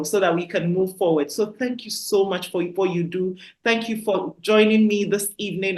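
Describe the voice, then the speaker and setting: A woman hosting a podcast, speaking in a gushing, heartfelt, faith-filled way to her listeners. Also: fairly low pitch. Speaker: podcast host. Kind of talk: effusive interview chat.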